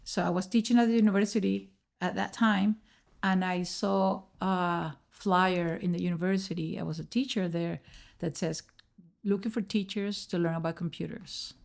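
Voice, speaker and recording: Middle-aged Venezuelan woman with creaky voice. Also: lowish voice, fairly even intonation, moderate pace, a little mellow. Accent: Venezuelan Spanish. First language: Spanish. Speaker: podcast guest, educator. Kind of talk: personal storytelling in an interview.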